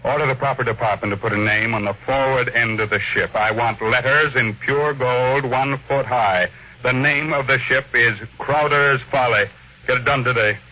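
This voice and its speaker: Forceful, sharp-edged ruthless tycoon. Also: male voice, medium pitch, normal speed. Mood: commanding, impatient. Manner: curt, commanding, imperious. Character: ruthless, obsessed, domineering millionaire tycoon. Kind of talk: old-time radio drama acting. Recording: dull, noisy vintage radio recording.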